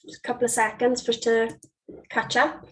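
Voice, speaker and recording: A woman with a croaky voice from being under the weather, giving a friendly charity presentation on a video call. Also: fairly high pitch, little pitch movement, fairly quick. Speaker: charity volunteering partner. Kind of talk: online presentation.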